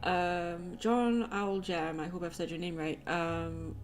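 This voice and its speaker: A woman's voice: fairly low pitch, flat delivery, takes it slowly. A woman hosting an art livestream in casual, jokey, informal chat. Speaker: livestream host and artist taking commissions. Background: guitar music playlist.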